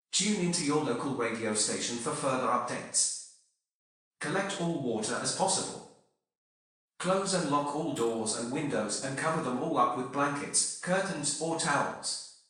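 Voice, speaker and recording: A man reading a formal, measured emergency broadcast announcement. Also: mid-range voice, sharp tone. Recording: heavily echoing reverb.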